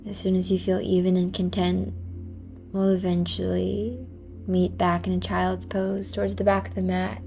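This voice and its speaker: A woman teaching a yoga class, guiding movement and breath in a gentle, encouraging manner over music. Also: on the low side, little pitch movement, normal speed, creaky. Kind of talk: guided yoga class with meditative cues.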